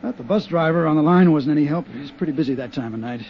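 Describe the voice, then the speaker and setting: An actor playing a steady, practical male investigator who talks in a measured, matter-of-fact way, in a muffled 1950s radio drama. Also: a little high, natural voice. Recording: muffled 1950s radio broadcast with faint hiss.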